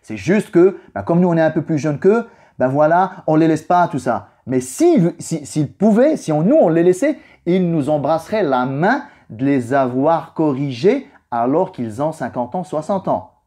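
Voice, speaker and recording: Expressive, mellow-voiced man, slightly husky. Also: on the high side, moderate pace. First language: Arabic. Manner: emphatic, rhetorical questions and repetition for stress. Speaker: vlogger giving a personal reflection. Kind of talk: informal motivational religious talk to camera.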